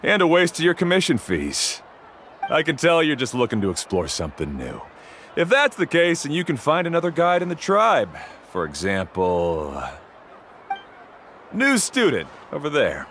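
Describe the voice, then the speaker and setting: An actor playing a proud, aloof cave guide who talks down to clients in a video game. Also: male voice, a little high, wildly swooping pitch, moderate pace, fairly bright tone, slight background noise, heavily acted. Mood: scornful. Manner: condescending, dismissive. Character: arrogant, aloof star cave guide, adult man.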